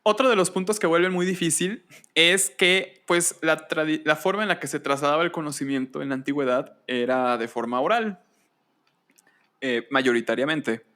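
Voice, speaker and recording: A man hosting a podcast, explaining history conversationally in a deliberate way, with pauses and rhetorical questions. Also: on the high side, sharp tone. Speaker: podcast host.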